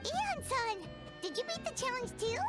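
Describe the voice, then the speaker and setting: A woman voicing a tiny, childish, excitable female fairy companion in a video game, whiny and speaking of herself in the third person. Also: very high voice, normal speed, loud music behind the voice. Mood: curious, queasy. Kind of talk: video game character voice acting.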